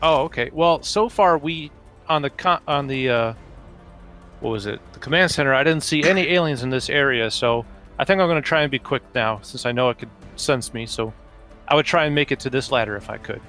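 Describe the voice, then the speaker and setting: A man playing a tabletop game, deliberately thinking his moves through out loud in casual talk. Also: medium pitch. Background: music.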